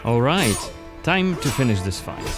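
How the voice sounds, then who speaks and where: A man's voice: mid-range voice, very animated, unhurried. A man presenting a game demo in a scripted narration style. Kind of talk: scripted gameplay showcase narration. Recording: music under the voice. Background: background music.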